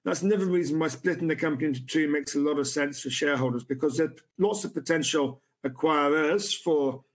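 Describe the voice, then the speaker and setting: Halting CEO fielding investor questions. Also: male voice, fairly high pitch. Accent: non-American. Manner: halting, many restarts and fillers. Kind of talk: conference Q&A. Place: room with a city view at night.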